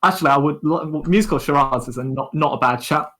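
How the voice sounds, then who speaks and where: Female co-host, lowish voice, easygoing and reassuring, informal chat. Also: fast, fairly bright tone. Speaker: stream co-host on a voice call. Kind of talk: casual chat.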